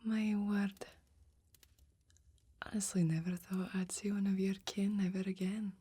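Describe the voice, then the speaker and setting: Folksy fantasy blacksmith, female performer, ASMR roleplay. Breathy near-whisper, dark soft tone, gentle and admiring. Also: mid-range voice, level tone, unhurried. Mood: warm, admiring. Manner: gentle, quaint old-fashioned exclamations and phrasing.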